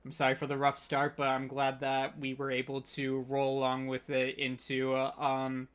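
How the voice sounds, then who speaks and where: A man hosting an informal online panel, speaking in a halting, scattered and hesitant way. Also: mid-range voice, monotone, fairly bright tone, clear, resonant tone. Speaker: panel host.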